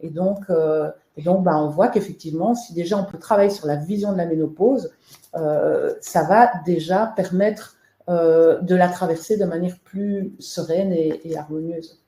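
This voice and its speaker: A woman's voice: fairly low pitch, normal speed, dark tone, reverberant. A woman from Belgium, the guest author in a webinar interview, talking in a hesitant way with many fillers and restarts.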